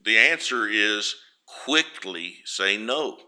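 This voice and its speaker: Loud folksy preacher with a bright voice. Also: male voice, on the low side, animated, slow pace. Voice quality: naturally loud voice. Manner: homespun, storytelling, rises loud for emphasis. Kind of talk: folksy sermon.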